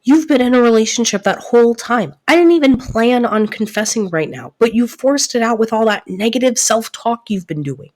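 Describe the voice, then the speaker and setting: A woman playing a devoted, protective best friend in an intimate audio roleplay, soft-spoken and earnest, easily flustered. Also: a little high, lively intonation, lightly acted. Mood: flustered, confessing. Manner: earnest, reassuring, halting when flustered.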